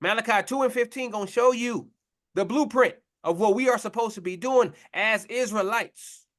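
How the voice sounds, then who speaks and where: A man's voice: sits high, normal speed, fairly bright tone. A man preaching a confrontational sermon, blunt and mocking, in slangy talk to his listeners.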